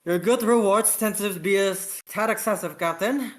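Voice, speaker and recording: An amateur reader as an exasperated, scolding spaceship lieutenant, raised pitch. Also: lightly acted. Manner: indignant, emphatic, halting.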